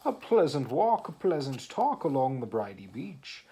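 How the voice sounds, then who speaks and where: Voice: mid-range voice, sing-song, unhurried, dark tone, voice pushed up. A reader voicing a sly, sweet-talking walrus in a coaxing, lilting manner.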